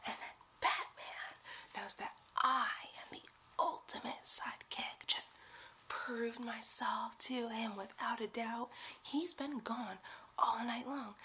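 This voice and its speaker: Woman acting as young boastful sidekick, bright high whisper, conspiratorial, gossipy, rambling, ASMR role play. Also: full character voice. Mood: giddy scheming. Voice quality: breathy whisper. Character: young, giddy, boastful superhero sidekick, scheming to impress.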